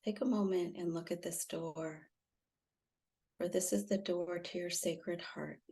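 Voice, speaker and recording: A woman guiding a meditation in a soothing, gently instructive way, leaving long pauses. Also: on the low side, steady tone, vocal fry, husky. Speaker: meditation guide, intuitive healer. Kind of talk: guided meditation.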